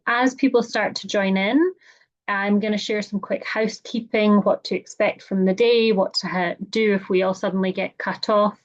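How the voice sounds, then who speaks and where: Scottish lady hosting a zoom thing, chatty and friendly, lots of ums, kinda muffled call audio. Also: mid-range voice. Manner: chatty and welcoming, frequent "um" fillers. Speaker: webinar host. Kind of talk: hosting an online meeting, housekeeping announcements. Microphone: computer or headset mic on a video call. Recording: slightly dull video-call audio.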